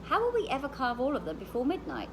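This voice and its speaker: A woman's voice: sits high, wildly swooping pitch, fast talker, noisy background, pitched above the performer's own voice. A woman reading the part of a sensible, uneasy young girl who asks questions in a storybook. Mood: curious, uneasy.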